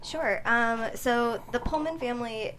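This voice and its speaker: A woman's voice: a little high, unhurried, a bit bright, a little hiss or hum, poor audio. A woman screenwriter giving a casual interview in an informal, hesitant manner.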